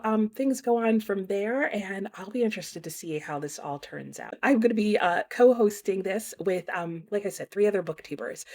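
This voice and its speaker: A young woman hosting her book channel, announcing a readalong in a chatty, casual way with lots of fillers and lively swings in pitch. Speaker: booktube host.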